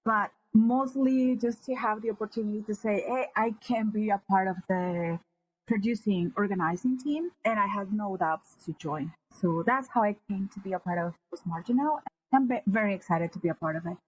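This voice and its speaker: A woman's voice: medium pitch, moderate pace, breathy edge, poor audio. A woman from Colombia, an actor and creator, speaking enthusiastically as a podcast guest. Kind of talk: interview conversation.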